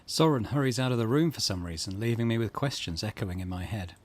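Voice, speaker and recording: A man hosting a let's play, reading game narration aloud with casual asides in a husky voice. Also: fairly low pitch, animated, quick pace, a little mellow.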